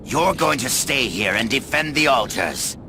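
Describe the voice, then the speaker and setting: An actor playing a hardened, world-weary male warrior in a game cutscene, gravelly, cynical and brooding, often close to a whisper. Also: medium pitch, expressive, background noise. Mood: commanding. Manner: slow, brooding delivery, often dropping near a whisper. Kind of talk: dramatic video game cutscene acting and narration.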